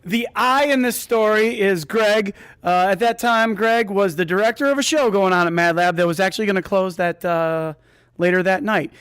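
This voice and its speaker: A man's voice: high-pitched, moderate pace, a bit bright, clean tone, no echo. A man telling a comic true story in a conversational, self-interrupting way, as a storyteller on stage.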